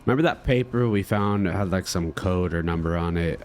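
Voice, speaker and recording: A man's voice: sits low, brisk, a little hiss or hum. A man doing casual let's play commentary, thinking aloud and reading game text as he goes. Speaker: gamer playing and commenting.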